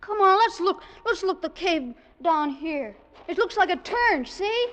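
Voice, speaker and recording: A very high, piping voice plays an adventurous ten-year-old boy. He is confident and coaxing in 1940s radio style, on a dull old recording with light noise. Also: animated, unhurried, a bit bright, full character voice. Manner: confident, coaxing boyish talk. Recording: muffled, faintly noisy old radio recording.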